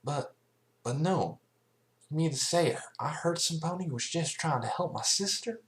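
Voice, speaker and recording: A man's voice: on the high side, expressive, unhurried, voice pushed up. A man voicing a remorseful, earnest country farm mare pony in an amateur cartoon fan fiction reading. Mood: frowning, confused.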